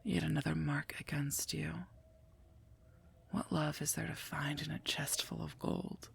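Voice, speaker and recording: A woman voicing a sardonic female werewolf knight and mercenary bodyguard in an ASMR roleplay, husky and gravelly, dry and curt. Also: low-pitched, little pitch movement, a little slow, heavily acted. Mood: mocking, disdainful. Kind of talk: scripted ASMR roleplay, addressed to listener.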